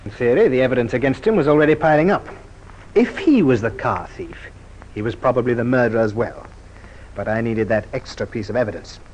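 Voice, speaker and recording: An actor playing a brisk, methodical police superintendent recounting a case in an old radio crime drama, measured and authoritative. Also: male voice, mid-range voice, animated, a little mellow, dull audio, close to an everyday voice. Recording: old radio recording, light hiss.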